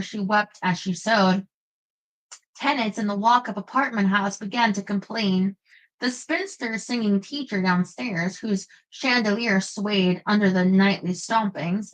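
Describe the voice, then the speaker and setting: A woman reading a biography aloud in a measured, expressive storytelling manner. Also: medium pitch, low-quality recording. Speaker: narrator.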